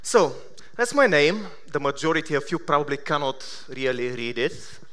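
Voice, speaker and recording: A man in his thirties from Bulgaria with a Bulgarian accent, giving a conference keynote with self-deprecating deadpan humor. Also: fairly high pitch, moderate pace, smooth. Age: 30s. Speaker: conference speaker. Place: large conference hall.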